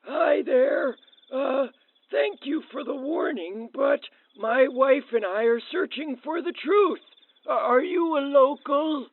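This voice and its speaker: A meek husband working the camera, naively polite and apologetic. He speaks slowly in a high, hesitant voice. The sound is narrow and tinny, like camcorder audio in a radio comedy. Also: dry recording, exaggerated voice. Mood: friendly, flustered. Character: meek, friendly, hapless husband operating the camera. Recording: thin phone-like camcorder audio.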